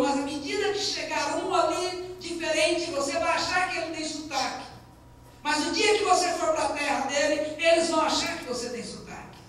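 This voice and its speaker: A man's voice: very high voice, fairly bright tone, a little hiss or hum. A man with a Minas Gerais Brazilian accent, preaching a sermon as pastor in a conversational way and stressing key lines. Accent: Minas Gerais Brazilian Portuguese. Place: church sanctuary. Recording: very echoey church hall, distant mic.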